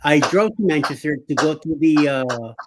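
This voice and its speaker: A man's voice: a little high, animated, normal speed, noisy background. Chatty man in his sixties, rambling. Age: 60s. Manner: rambling, many fillers and restarts, laughs often. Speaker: show host. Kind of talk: casual live-stream chat.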